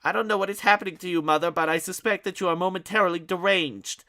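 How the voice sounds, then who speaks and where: A man's voice: high voice, quick pace, bright, forceful tone, voice pushed up. A man voicing a pompous, fussy grown man who speaks in haughty, overly formal sentences. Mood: indignant.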